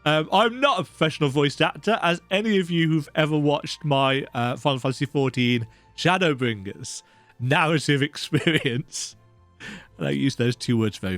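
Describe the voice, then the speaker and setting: A man hosts a let's play in a chatty, self-deprecating way. His voice is on the higher side and swings around with lots of animation. Game music plays behind his casual commentary. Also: a bit bright, no room sound. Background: video game music.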